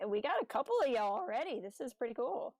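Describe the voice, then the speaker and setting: A woman's voice: fairly high pitch, sing-song, fast, a little mellow, dry recording. A woman co-hosting a casual livestream fan chat, talking in a chatty, informal and slangy way. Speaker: podcast co-host.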